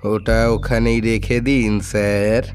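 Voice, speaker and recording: A man's voice: lowish voice, little pitch movement. A man playing a scheming, threatening villain in an audio detective story, drawing out his words. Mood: cold command. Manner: slow, drawn-out, threatening delivery. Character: menacing male villain, scheming hotel manager with a pistol. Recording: loud music bed under the voice.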